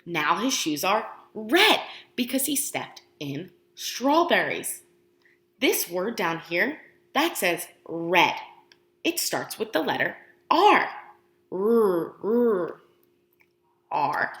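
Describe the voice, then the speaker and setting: A woman teacher doing an expressive picture book read-aloud for young children, asking questions with encouraging, exaggerated delivery and pausing for answers. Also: mid-range voice, echoey room.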